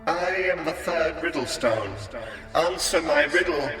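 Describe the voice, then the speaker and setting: A man voicing an enchanted talking riddle stone in a storybook, proud and sneering, reciting riddles in a solemn, drawn-out way. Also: on the high side, recorded from a distance, voice pushed up, close to an everyday voice. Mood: sneering, ominous. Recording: echoey hall reverb with music.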